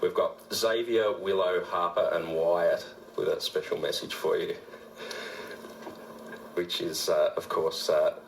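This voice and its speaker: Aussie guy hosting radio, really low voice, casual chat, bit roomy with faint noise. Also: steady tone, slow, creaky. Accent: Australian. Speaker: radio presenter and co-host.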